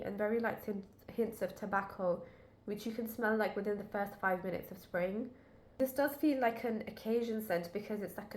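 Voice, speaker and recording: A woman reviewing a fragrance in a casual, chatty way, her voice still lower and duller than usual as it recovers. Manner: chatty, talks straight to viewers. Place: at her desk indoors. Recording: echoey room.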